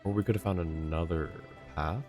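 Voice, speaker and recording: A man with a low voice chats casually as a game streamer. His asides are laid-back, and music plays faintly behind him. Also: steady tone, normal speed, a little mellow. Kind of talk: casual live stream commentary.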